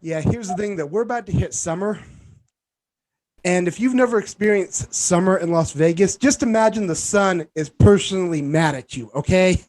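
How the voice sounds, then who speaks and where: Male stand-up comic, high voice, self-deprecating, punchy delivery. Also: normal speed, a little mellow. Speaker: stand-up comedian. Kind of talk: stand-up set.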